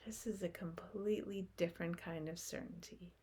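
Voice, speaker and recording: A woman leading a guided meditation in a gentle, unhurried manner, speaking in short phrases with long pauses. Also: fairly low pitch, steady tone, slightly rough.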